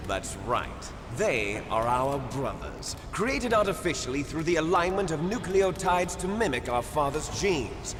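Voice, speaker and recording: A grandiose villain from a video game, a bitter cloned soldier with a high-set, sweeping delivery. He lectures with menace and resentment in a long, echoing reverb. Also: sing-song, unhurried, hardly any pauses. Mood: grandiose lecturing. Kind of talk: dramatic video game voice acting. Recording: echoey hall reverb over loud rumbling noise.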